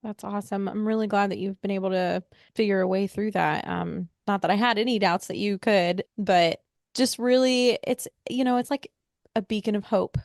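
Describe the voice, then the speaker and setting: A woman's voice: medium pitch, steady tone, moderate pace, vocal fry, darkish tone, no room sound, clean studio sound. Friendly woman hosting a podcast. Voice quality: friendly, encouraging questions with fillers. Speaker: podcast host. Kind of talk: podcast interview.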